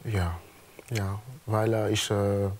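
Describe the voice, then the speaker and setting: A young man from Gabon with a French accent, a native French speaker, chatting haltingly as a guest in a TV studio and searching for words. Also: on the low side, level tone, a little mellow, a little hiss or hum. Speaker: guest, artist. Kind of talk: casual studio chat.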